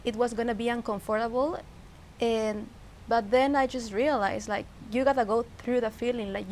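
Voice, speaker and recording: A woman from Peru with a Peruvian Spanish accent, talking casually and reflectively as a guest, searching for her phrasing in English. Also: on the high side. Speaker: interview guest. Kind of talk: casual personal conversation. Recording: clear background noise, ambience.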